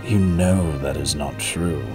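A grave royal guardian, voiced by a man for an audio drama. His voice is deep, formal and measured. Music is clearly heard beneath him. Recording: prominent music under the voice.

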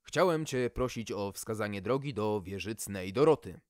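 A man's voice: on the low side, expressive, moderate pace, a little mellow, no echo, clean studio sound, natural voice. A man voicing a dim, bewildered knight errant in a storybook, pompously polite and hesitant.

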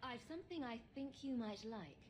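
An actress playing a composed, wry heroine in a video game, speaking in a dry, lightly teasing way. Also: female voice, on the high side, lively intonation, normal speed, slightly rough. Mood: gently teasing. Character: composed, wry adult female fantasy game hero. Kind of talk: video game dialogue acting.

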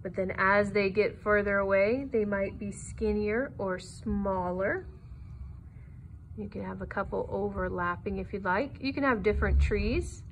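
A woman's voice: medium pitch, a little slow, low-quality recording. A woman with a mid-range voice teaches drawing one step at a time. She is encouraging and easygoing in how she instructs. There is faint room noise behind her voice. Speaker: art teacher.

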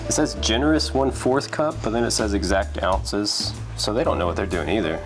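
A man's voice: lowish voice, fairly quick, over music. A man in his thirties bantering casually as he co-hosts a home cooking video, dry and wry in his humor. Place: home kitchen.

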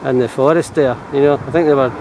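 A man's voice: mid-range voice, fairly even intonation, fast talker. Scottish man chatting on a windy roadside. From Scotland. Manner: chatty, rambling talk to camera while walking. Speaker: vlogger. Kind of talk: casual hiking vlog. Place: outdoors on roadsides and forest paths. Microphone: camera held at arm's length. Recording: loud wind and passing traffic.

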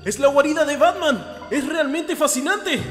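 A man voices a high-pitched, talkative cartoon villain, excitable and a little cowardly. His wordy musings play over prominent music. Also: moderate pace. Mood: fascinated. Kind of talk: animated fan film voice acting. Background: loud music.

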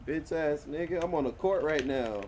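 A man's voice: on the high side, moderate pace, darkish tone. Guy streaming a roleplay game, cussing in street slang, bit of game noise behind him. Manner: profane, slangy. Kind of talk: casual gaming stream, in-character street slang.

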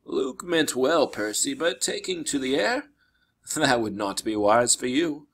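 A man voicing a wise older mentor in a storybook, grave and kindly, speaking in a measured, solemn way. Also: mid-range voice, very animated, a bit bright, exaggerated voice. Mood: gentle, regretful.

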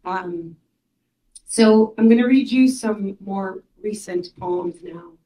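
A woman in her thirties from Ukraine whose first language is Russian, reading her poems aloud in a measured, soft-spoken way at a mic stand in a small gallery room. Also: medium pitch. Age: 30s. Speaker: poet. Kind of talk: poetry reading. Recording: roomy room echo.

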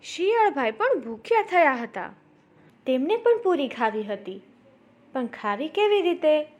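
A woman's voice: sits high, sing-song, unhurried, highs cut off. A woman narrating a story for children as a storyteller, addressing young listeners directly.

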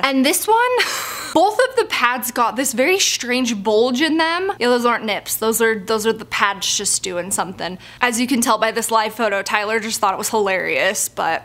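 A young woman reviews workout clothes in a casual, chatty way, her slightly high voice bouncing with "like" fillers and quick laughs. She talks straight to the camera from a few feet away, filming in her clothes-lined closet. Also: bright, forceful tone. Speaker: activewear reviewer. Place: bedroom closet with stacked clothes shelves.

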